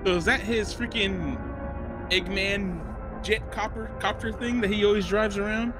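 A young man giving casual, excitable movie reaction commentary full of slang and swearing, close on a microphone. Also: high voice, normal speed, over music. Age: young adult. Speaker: reaction video host. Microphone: close studio microphone, headset monitoring.

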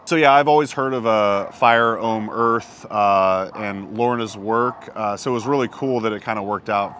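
A low-voiced man in his thirties talks in a loose, easygoing way, with plenty of "uh" and "yeah" as he thinks aloud. He is recorded outside by a camera a few feet away. A faint outdoor hum sits under his voice. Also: normal speed. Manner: relaxed, lots of "uh" and "yeah". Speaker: vlog host. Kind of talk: casual travel chat. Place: outdoors on a hillside above a small town.

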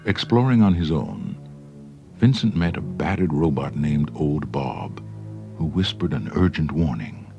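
Very deep-voiced male storybook narrator. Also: sing-song, measured pace, vocal fry, muffled recording. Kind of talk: scripted children's read-along story narration. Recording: band-limited old record, music underneath.

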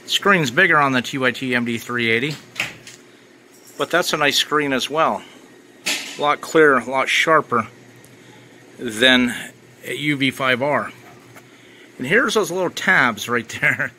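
Guy reviewing gear on camera, bright forward voice, kinda noisy homemade recording. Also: medium pitch, measured pace, long pauses. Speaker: ham radio reviewer. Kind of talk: casual unboxing commentary.

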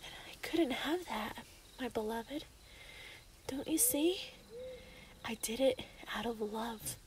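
A woman's voice: on the high side, animated, slow, a little hiss or hum. A woman playing an obsessive, lovestruck young female werewolf in a yandere ASMR roleplay, menacing and possessive. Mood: pleading, confiding.

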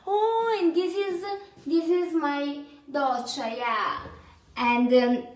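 A woman's voice: sits high, very slow. A woman in her twenties with an Italian accent, giving a playful, mock-glamorous tour of her small apartment in a mix of clumsy English and Italian, filmed on a phone at arm's length indoors. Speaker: vlogger giving a parody house tour. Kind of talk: comic home tour vlog. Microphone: phone held at arm's length.

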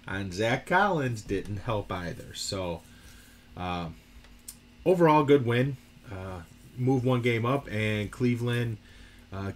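A man's voice: on the low side, slight background noise. A middle-aged man hosting a casual baseball postgame recap from home, chatty and upbeat with lots of fillers. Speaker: baseball postgame show host. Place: home room full of memorabilia shelves.

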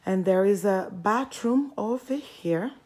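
A woman narrates a casual house tour for her viewers. Her voice is mellow and kept low, as if not to disturb others. She takes her time and gushes admiringly, chatting as she walks from room to room with the camera in hand. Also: expressive, takes it slowly. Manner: hushed, keeping voice low; admiring, gushing. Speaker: home tour vlogger. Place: indoors, walking through a furnished model home. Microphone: handheld phone or camera held by the speaker.

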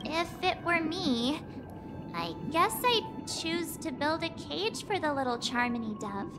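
A woman's voice: high-pitched, sing-song, a little slow. An actress playing an outspoken, cheerful young girl in a game. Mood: earnest. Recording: game audio with music behind.

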